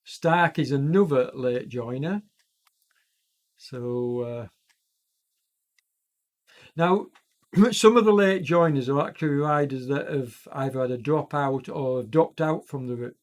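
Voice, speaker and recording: A man hosts live commentary on an online cycling race while fighting a chest cold, so his voice sounds congested. His pitch sits in a middle register. He rambles, fills gaps with ums, and corrects himself mid-sentence. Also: unhurried, pauses often. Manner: rambling, self-correcting, many fillers. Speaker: e-racing commentator and streamer.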